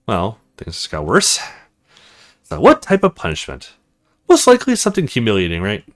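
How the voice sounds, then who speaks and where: A man's voice: fairly low pitch, huge pitch swings, moderate pace, pitched below the performer's own voice. A man voicing an awkward young male recruit in a visual novel, hesitant and grumbling to himself.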